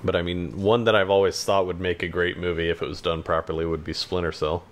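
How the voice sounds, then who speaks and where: A man's voice: sits low, flat delivery, quick pace. A man co-hosting a podcast in casual chat, speaking in a hesitant, rambling, hedging way. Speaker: podcast co-host.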